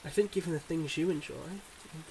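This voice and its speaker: A man playing a devoted but guarded mob boss boyfriend in an intimate ASMR roleplay, speaking in earnest, measured confessions. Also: on the high side, fairly even intonation, warm tone, slight background noise, natural voice, low-quality recording. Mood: solemn, regretful.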